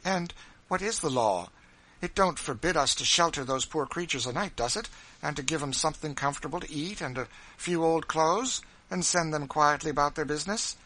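A man reading aloud, voicing a timid, gentle wife roused to righteous indignation, pleading and insistent. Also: a little high, normal speed, voice pushed up, low-quality recording. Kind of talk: audiobook character reading.

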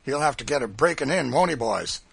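A man's voice: mid-range voice, animated, a little fast, gravelly, husky, dry recording, voice pushed up. A man voicing a cruel, domineering plantation master in an audiobook, coarse and commanding. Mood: scornful.

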